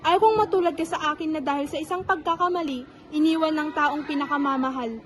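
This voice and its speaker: A woman's voice: sits high, fairly quick, faint music behind, natural voice. A woman playing a demanding mother who pushes her son to be perfect, nagging and insistent. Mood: stern. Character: strict demanding mother of a grown son, bitter.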